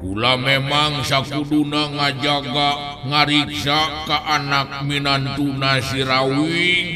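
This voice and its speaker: A man voicing an ancient, protective guardian spirit in an audio story, stately, calm and solemn. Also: a little high, slow pace, voice pushed up. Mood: calm, reassuring. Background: music.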